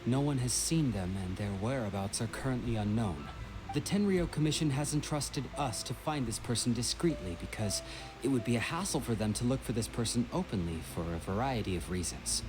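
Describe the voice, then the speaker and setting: A man's voice: medium pitch, lively intonation, brisk, music in the background, close to an everyday voice, poor audio. An actor playing a polite, earnest, long-suffering young man in a video game, courteous yet exasperated. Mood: earnest explaining.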